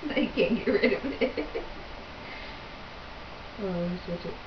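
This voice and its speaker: A woman's voice: mid-range voice, lively intonation, unhurried. A giggly woman chatting casually, teasing in a blunt way. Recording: muffled, noisy home video.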